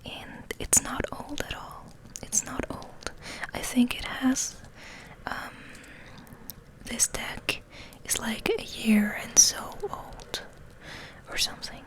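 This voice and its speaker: A woman ASMR host speaking in a gentle, breathy whisper, hushed and unhurried, as if rambling softly to her listeners. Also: on the high side, poor audio. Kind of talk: ASMR, soft rambling chat and reading aloud.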